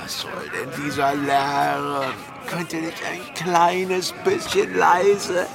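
A man's voice: a little high, flat delivery, slow, creaky, a crowd in the background. An actor playing a befuddled, faltering male pirate in a German radio drama. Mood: groggy, confused. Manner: faltering, unsure.

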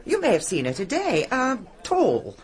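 An actress playing a genteel, chatty English village vicar's wife in a radio drama, polite and gossipy. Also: female voice, a little high, wildly swooping pitch, normal speed.

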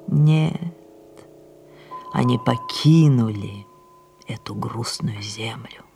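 An actress playing an aging, imperious, melancholy French stage star in an audio drama, declaiming theatrically with drawn-out words that drop into breathy murmurs. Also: female voice, a bass voice, a little mellow, heavily acted. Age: older. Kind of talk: audio drama monologue and dialogue.

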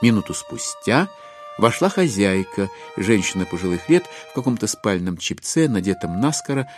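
A wry storyteller narrates a classic novel with very lively, expressive intonation. It is polished literary narration, with faint music underneath. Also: male voice, fairly low pitch, normal speed.

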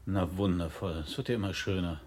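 A man's voice: low voice, brisk, darkish tone, breathy edge. An actor playing a young man in a radio drama, a probing, slightly wounded friend who talks in a wry, conversational way. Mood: hurt, sarcastic.

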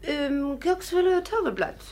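A woman's voice: high voice, animated, unhurried, a little mellow. A woman playing a chatty, naively tactless, wealthy American society wife in a 1950s German radio play, effusive and exclamatory. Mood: flustered, eager.

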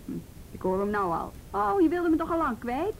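A woman's voice: high-pitched, very animated, a little fast, creaky, a little hiss or hum, exaggerated voice, rough recording. An actress playing a weary, matter-of-fact policewoman with a sardonic edge in a radio drama. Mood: weary, resigned. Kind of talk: naturalistic radio drama acting.

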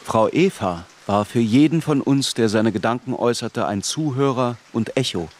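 An actor playing a man recalling his youth, narrating a literary radio drama in a measured, grave, reflective way. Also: mid-range voice, lightly acted.

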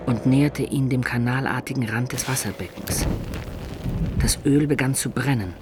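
A man narrating a dark adventure tale in the first person for a German radio play, measured, composed and literary. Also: mid-range voice, background noise, close to an everyday voice. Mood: tense, observant.

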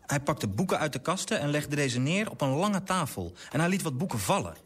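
An actor playing an earnest young clerk and writer who narrates in a literary radio drama. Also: male voice, on the high side, big pitch swings, brisk, a bit bright, a little hiss or hum. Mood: earnest reporting.

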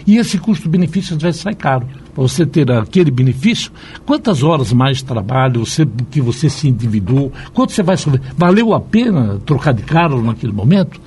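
A man's voice: mid-range voice, fairly quick, slight background noise. An older man over sixty with a São Paulo Brazilian accent, telling stories conversationally as an interview guest and often checking for agreement. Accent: São Paulo Brazilian Portuguese. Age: 60s or older. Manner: animated, repeatedly seeks agreement with tag questions. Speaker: psychiatrist, radio interview guest. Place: radio interview.

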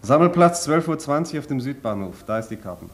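An actor playing a curt ticket clerk in a radio play, rattling off routine officialese. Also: male voice, medium pitch, expressive, fairly quick, noisy background, natural voice.

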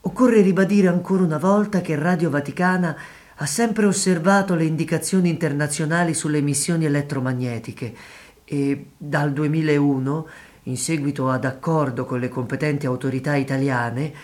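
A man's voice: on the high side, steady tone, moderate pace, darkish tone, lightly acted. A man playing a formal, defensive church radio director, reading out an official statement in documentary theatre. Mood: formal, firm.

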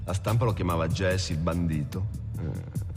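An actor playing a cocky gangster who looks back on his youth in a halting, frank film monologue. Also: low-pitched. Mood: wry, nostalgic. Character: cocky male gangster reminiscing about wild youth. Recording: film soundtrack, echoing hall, loud music.

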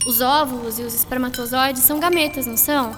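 A woman acts an eager, inquisitive schoolgirl in a radio play. Her high voice is chatty and colloquial, ringing in a hall with clear music behind it. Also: fairly even intonation, quick pace, close to an everyday voice. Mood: eager, unsure. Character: Laura, curious chatty schoolgirl, eager and apologetic. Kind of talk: radio drama acting.

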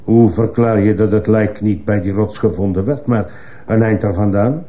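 A man's voice: on the low side, moderate pace, warm tone, close to an everyday voice. A man playing a stern, probing judge who fires insistent questions in a radio courtroom drama. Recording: muffled, hissy old radio play recording.

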